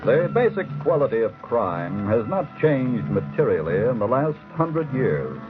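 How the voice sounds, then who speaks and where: A man's voice: medium pitch, big pitch swings, measured pace. Tinny 1940s American male radio narrator. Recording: tinny, band-limited 1940s radio recording. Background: music.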